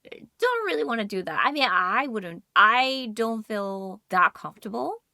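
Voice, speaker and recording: A woman with a bright, clear tone talking on a podcast, hedging and circling her points. The recording is close and dry. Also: fairly high pitch, big pitch swings, normal speed, no room sound. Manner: hedging, lots of "like" and "I mean". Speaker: podcast co-host. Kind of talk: casual chat.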